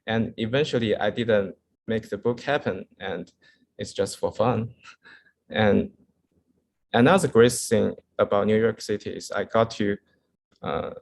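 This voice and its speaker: A man's voice: on the low side, a little slow, gravelly, long pauses, a little hiss or hum. A man from China with a Chinese accent gives an informal slide lecture in English as a second language, hesitating often as he searches for words. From Wuhan, China. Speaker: architect giving a guest lecture.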